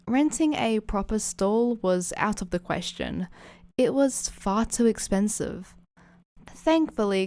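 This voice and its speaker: A woman's voice: mid-range voice, a little mellow, no room sound. A woman reading a scripted story as the narrator of an audio fan fiction.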